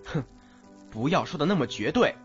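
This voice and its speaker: A man's voice: on the high side, very animated, moderate pace, highs cut off. A man acting in an audio drama as a brash but doting, teasing teenage schoolboy. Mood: brusque. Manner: teasing, affectionate. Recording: band-limited, background music throughout.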